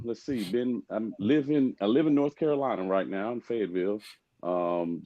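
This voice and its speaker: Man in his 40s, deep voice, from Texas, hesitant and polite, casual talk, dull lo-fi sound. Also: warm tone, no room sound. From Texas, USA. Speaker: show guest, retired soldier. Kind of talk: casual self-introduction. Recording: muffled, lo-fi microphone.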